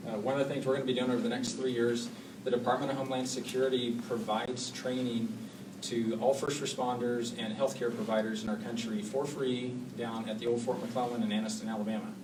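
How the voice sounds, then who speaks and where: Calm man, distant and echoey. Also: medium pitch. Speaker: hospital administrator on a panel. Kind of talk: panel discussion answer. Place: public library meeting room. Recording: echoey room, far mic, noisy.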